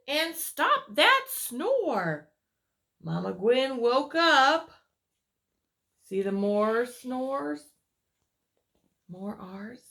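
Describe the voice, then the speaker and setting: A woman's voice: medium pitch, gravelly. A woman reading a picture book aloud to small children as a teacher would, stretching words for dramatic emphasis and adding asides to her listeners.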